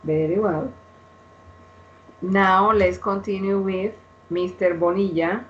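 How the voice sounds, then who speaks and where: A woman's voice: mid-range voice, steady tone, takes it slowly. A woman, a tutor leading a class video call who repeats short praise. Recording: muffled call audio, faint noise.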